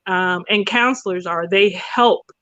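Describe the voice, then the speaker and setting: A woman's voice: mid-range voice, a little slow, sharp tone, no room sound, highs cut off. A woman talking conversationally and explaining things emphatically through anecdotes and analogies.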